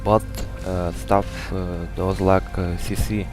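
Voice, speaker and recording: A man with a Russian accent, native Russian speaker, giving a hesitant, halting game guide commentary full of filler sounds. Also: low voice, flat delivery, music in the background. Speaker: gamer giving a build guide.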